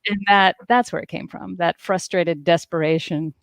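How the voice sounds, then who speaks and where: A woman's voice: on the low side, steady tone, moderate pace, dry recording. A middle-aged woman answering interview questions as an author, casual, wry and self-deprecating, repeating words for emphasis. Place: dim indoor room at home, video call.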